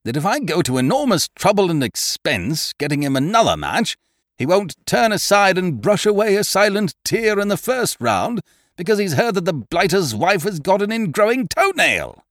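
A man voicing a blustering male schemer who booms and rants in exasperation. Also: very animated, moderate pace, fairly bright tone, dry recording, studio quality. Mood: indignant. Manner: booming, exasperated ranting. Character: blustering loud male schemer, booming and indignant.

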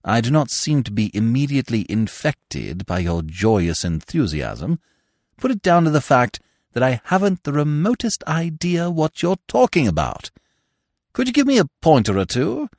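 A man voicing a suave, unflappable young English gentleman in an audiobook, wordy, elaborately formal and drily courteous. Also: mid-range voice, huge pitch swings, moderate pace, no room sound, studio quality. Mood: patient, quizzical. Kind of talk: audiobook character voice.

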